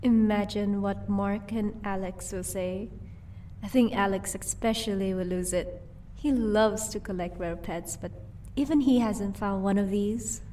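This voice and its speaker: A woman playing a vampire's playful, possessive wife in an audio roleplay, teasing and doting with quiet menace. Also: medium pitch, unhurried, distant mic, slight background noise, close to an everyday voice. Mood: musing.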